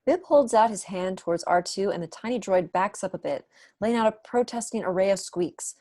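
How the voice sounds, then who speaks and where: A woman's voice: medium pitch, fairly quick, noisy background. A young woman reading stage directions as scripted narration for an online table read. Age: young adult. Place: room at home, on a video call.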